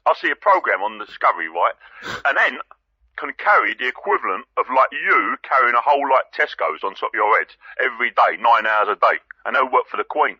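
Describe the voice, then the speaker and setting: A man's voice: medium pitch, lively intonation, brisk, bright, forceful tone, slightly rough, no echo, full character voice. An actor playing a dim, blunt, muddled man calling a comedy radio phone-in. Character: dim, blunt, inarticulate working-class male phone-in caller. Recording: dull lo-fi phone-in line.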